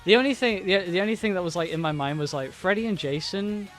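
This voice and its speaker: Young man, slightly high voice, casual chat. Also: lively intonation, quick pace, fairly bright tone, faint music behind. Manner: stammering restarts, agreeable, laughing. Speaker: co-host. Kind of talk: casual movie review chat.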